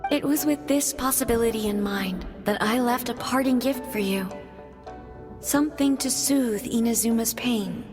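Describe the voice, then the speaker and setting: A woman voicing a gentle, dying female deity in a game, speaking slowly and solemnly. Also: on the high side, lightly acted. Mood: tender. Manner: slow, solemn, tender. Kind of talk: game voice acting. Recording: music in background.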